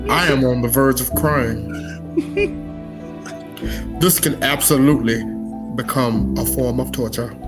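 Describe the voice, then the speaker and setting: A man's voice: mid-range voice, measured pace, pauses often, loud music behind the voice, close to an everyday voice. Man putting on a solemn, overly dramatic narrator. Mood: grave, dramatic. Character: solemn dramatic narrator parodying a famous actor, recounting a mishap.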